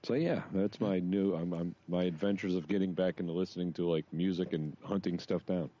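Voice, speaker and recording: Male podcast co-host, low-pitched, laid-back salty banter, slightly dull lo-fi audio. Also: steady tone, fairly quick, warm tone. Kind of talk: casual chat.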